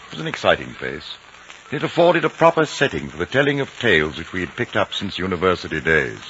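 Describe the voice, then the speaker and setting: An actor playing an educated, reflective English man telling a story in a measured literary manner, in an old radio drama. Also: low-pitched, big pitch swings, sharp tone, heavily acted. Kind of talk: 1950s radio drama narration. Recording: dull, noisy vintage radio recording.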